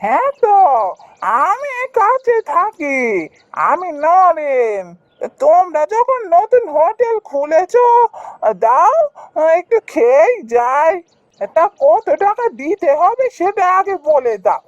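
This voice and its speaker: A woman's voice: extremely high pitch, very animated, slow pace, dry recording, full character voice. A woman voicing a chatty, inquisitive male neighbour in a Bengali cartoon, with emphatic delivery. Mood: curious. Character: nosy, chatty male neighbour, an eager hungry customer. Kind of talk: Bengali cartoon story dialogue. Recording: dull cartoon audio with music.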